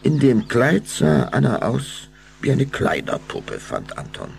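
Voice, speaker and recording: A man narrating a radio play as a grave, expressive storyteller. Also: mid-range voice, unhurried, creaky, a little hiss or hum, full character voice. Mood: reflective.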